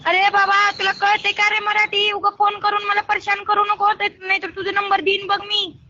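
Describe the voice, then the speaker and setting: Very high, sharp, scolding Marathi woman. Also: monotone, moderate pace. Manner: curt, scolding, irritated. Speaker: beauty parlour worker receiving a call. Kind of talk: exasperated phone conversation. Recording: dull lo-fi phone line.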